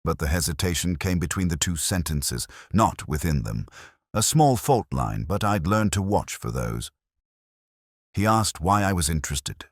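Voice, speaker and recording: A man playing a brooding, world-weary older detective, narrating a mystery in a measured, melancholy, literary way with a husky voice. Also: deep voice, very animated, no echo, clean studio sound. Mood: wary, observant. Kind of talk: scripted first-person mystery narration.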